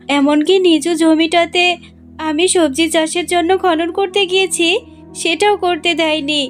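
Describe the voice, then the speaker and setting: A woman voicing a poor, kind, devout fox wife in a cartoon, speaking in an earnest, plaintive way. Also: extremely high pitch. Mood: aggrieved. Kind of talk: cartoon character acting.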